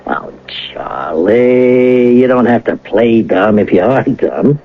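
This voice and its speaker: An actor playing a shrewd, sardonic police detective sergeant, needling with mock friendliness and deliberate pauses in an old-time radio drama. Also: male voice, medium pitch, lively intonation, vocal fry. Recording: tinny, narrow vintage radio broadcast.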